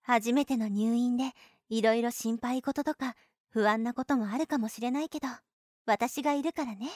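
A woman's voice: a little high, moderate pace, a little mellow, dry recording, clean studio sound, lightly acted. An actress playing a young female nurse in an anime-style voice drama, blunt and boyish in phrasing, protective and possessively clingy. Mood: doting, protective. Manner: blunt boyish phrasing, doting toward the patient. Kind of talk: Japanese anime-style situation voice drama acting.

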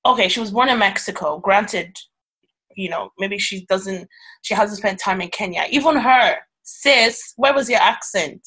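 A woman's voice: medium pitch, unhurried, bright, forceful tone, poor audio. A woman chatting casually and animatedly with friends, swearing and laughing easily. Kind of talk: casual chat among friends.

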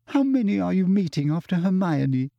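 A man's voice: high-pitched, big pitch swings, normal speed, no room sound, dull audio, pitched above the performer's own voice. A man voicing a tearful stammering teen girl. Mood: hurt. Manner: stammering, voice breaking. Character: tearful teenage girl, hurt and jealous.

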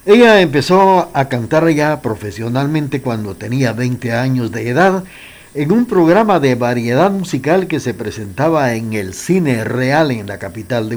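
A man's voice: medium pitch, a little mellow. A man with a Guatemalan accent presenting a radio music program, talking in a courteous, conversational way as he shares commentary with listeners.

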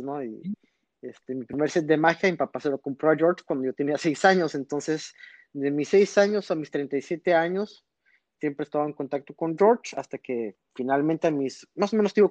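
A man's voice: fairly high pitch, brisk, a little mellow, dry recording. Rambling man, muffled call audio. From born in Texas, raised in northern Mexico. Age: 30s. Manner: rambling, storytelling, frequent fillers. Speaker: guest magician. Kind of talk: casual podcast interview.

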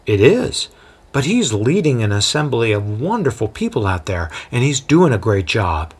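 A man voicing a gentle traveling apostle who speaks calmly and deferentially. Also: medium pitch, moderate pace, husky. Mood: reassuring. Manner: calm and deferential.

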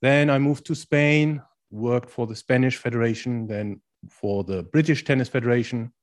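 A man in his forties from Germany with a German accent, answering interview questions in English as a coach in a calm, explanatory way. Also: medium pitch, moderate pace. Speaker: guest, strength and conditioning coach.

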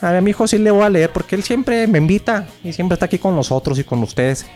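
A man's voice: high voice, fast, a little mellow, natural voice. A cranky old fortune-teller woman, played with a Mexican accent by a man putting on a raised voice. She scolds, insults and reads beans in a mock-mystical drawl, with faint music behind. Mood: insulting. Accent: Mexican Spanish. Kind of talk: improvised comedy sketch.

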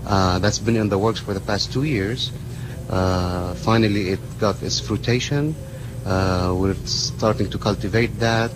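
A man talking casually as a guest on a live radio show, in a halting, hesitant way. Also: fairly low pitch. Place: live on location radio broadcast. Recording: clear background noise, live location radio.

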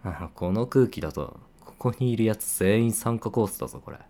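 A young man acting as a playful senior coworker who teases in a casual, offhand way with hushed asides. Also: on the low side, animated, fairly quick. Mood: teasing. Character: teasing male senior coworker, playful, secretly fond. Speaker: voice actor. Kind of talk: situation voice drama.

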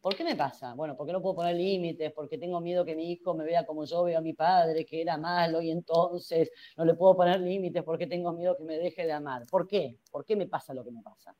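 A woman's voice: fairly low pitch, fairly even intonation, brisk, few pauses, dark tone. A woman psychologist with a Rioplatense Spanish accent, answering in a radio interview and talking through ideas by asking herself rhetorical questions. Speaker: psychologist guest.

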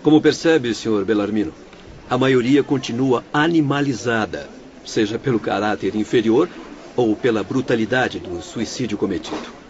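An actor with a Brazilian accent playing a courteous, composed doctor who explains things formally in a radio drama. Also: male voice, mid-range voice, moderate pace, a little mellow, lightly acted. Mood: calm, explanatory. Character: courteous, composed male doctor guiding patients in the afterlife. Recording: dull lo-fi radio recording, faint noise.

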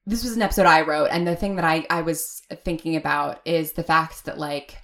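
Woman guest chatting on a podcast, low voice, thoughtful, halting, lots of like and sort of. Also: steady tone, fairly bright tone. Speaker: audio drama writer, podcast guest. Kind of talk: casual interview conversation.

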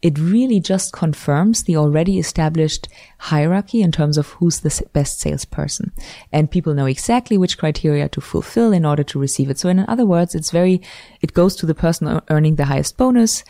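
A woman's voice: low-pitched, animated, brisk, mellow, no room sound. A woman, a guest researcher explaining ideas thoughtfully in an interview and often correcting herself mid-sentence. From Switzerland. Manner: thoughtful, academic, frequent self-corrections. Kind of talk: conversational interview.

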